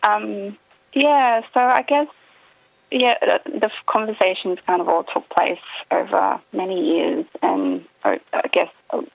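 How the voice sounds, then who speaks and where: Gravelly low-voiced woman on a dull line. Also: no room sound. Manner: thoughtful, hedging, often trails off. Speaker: author guest. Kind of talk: reflective radio interview answers.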